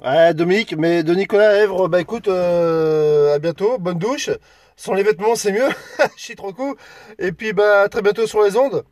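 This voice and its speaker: A man's voice: high voice, normal speed, darkish tone, no echo. A man chatting informally and chummily as a CB radio operator. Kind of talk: casual radio chat.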